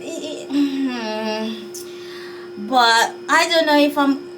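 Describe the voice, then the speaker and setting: High-voiced Jamaican woman, slow and musing. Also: far from the mic, over music. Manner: chatty, musing and unsure, with sighs. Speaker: vlogger. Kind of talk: casual talk to camera.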